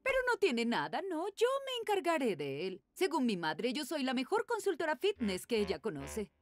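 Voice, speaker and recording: A woman's voice: high-pitched, huge pitch swings, normal speed, slight background noise. A woman voicing a bossy, self-assured young fitness coach in a cartoon dub, speaking in a confident, commanding way. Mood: confident, matter-of-fact. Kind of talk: dubbed cartoon acting.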